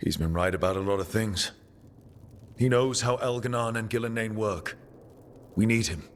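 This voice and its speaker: An actor playing a blunt, resolute hero in a fantasy video game, talking in terse, challenging, plain words. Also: male voice, fairly low pitch, normal speed, lightly acted. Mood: weary, resolute. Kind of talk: dramatic video game acting. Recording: long reverb, clear background noise.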